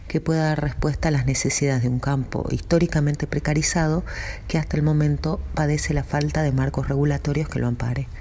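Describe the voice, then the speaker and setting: A woman from Salta, Argentina, from an arts advocacy commission, speaking in a measured way with hesitations. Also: rumbling low, warm tone, slight background noise. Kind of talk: informal spoken statement.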